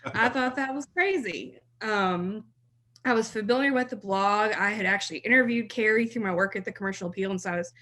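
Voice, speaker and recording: A chatty woman in her thirties, originally from South Mississippi, chats as a podcast guest about her career. Her voice is bright and forward, and she laughs easily between thoughts. Her pitch sits in a middle range for a woman. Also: fairly quick. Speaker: blog writer, guest. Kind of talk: podcast interview.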